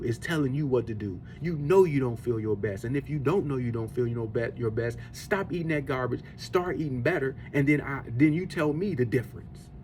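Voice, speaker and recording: A man's voice: a little high, a little mellow, slight background noise. A man in his forties giving an earnest, preachy faith-based talk on discipline and eating, circling back to the same points as he goes. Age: 40s. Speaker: podcast host. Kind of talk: faith-based motivational monologue.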